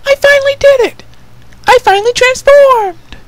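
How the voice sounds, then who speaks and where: An excitable, squeaky little changeling princess. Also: male voice, normal speed, no echo, low-quality recording. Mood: whimpering, pleading. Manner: whimpering, excitable. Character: little changeling princess, eager, pleading younger sister.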